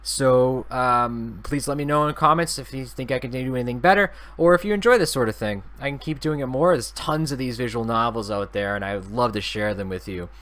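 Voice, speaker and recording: A man's voice: medium pitch, a little fast, hardly any pauses, fairly bright tone. A man hosting a gaming channel, reading visual novel narration aloud and commenting in an informal, unassuming way.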